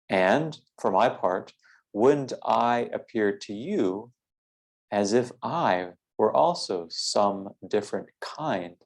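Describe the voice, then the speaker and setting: A man leading a philosophical guided meditation in a measured, deliberate way, with formal phrasing and frequent pauses. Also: fairly low pitch, darkish tone.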